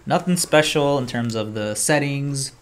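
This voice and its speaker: A man's voice: mid-range voice, animated, measured pace, noisy background. A man co-hosting a maker show, chatting in a laid-back, slangy, unscripted way about 3D printing projects.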